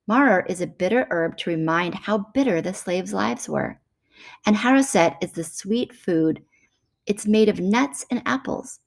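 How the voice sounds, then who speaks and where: Weary know-it-all female chimp for a storybook, played by a woman: scolding, drawn-out delivery, expressive pitch swings. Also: gravelly. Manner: sighing, drawn-out, correcting.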